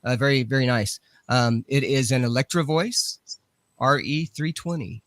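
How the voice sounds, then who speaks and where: A man's voice: medium pitch, moderate pace, fairly bright tone, no room sound. A man speaking as a guest in a casual chat, rambling and apologizing for interrupting, through a studio microphone with an old effects unit on his voice. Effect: voice run through an old effects unit. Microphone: radio station studio microphone.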